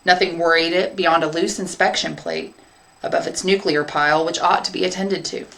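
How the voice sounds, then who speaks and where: A woman's voice: fairly low pitch, steady tone, moderate pace, gravelly, fairly bright tone. A woman English teacher reading a short story aloud as scripted narration.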